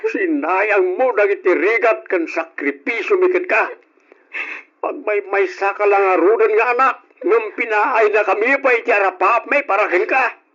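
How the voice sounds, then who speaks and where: Give me a bitter, disappointed father with a high voice, from a dull old radio recording. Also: moderate pace, fairly bright tone. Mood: scolding. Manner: reproachful. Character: stern disappointed father scolding his daughter. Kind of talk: old radio drama acting.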